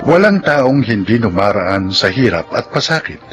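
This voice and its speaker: A man delivers scripted radio drama narration as the announcer. His medium-pitched voice rises and falls dramatically, each phrase deliberate. Music plays clearly underneath. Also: big pitch swings, muffled recording. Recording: music bed behind announcer.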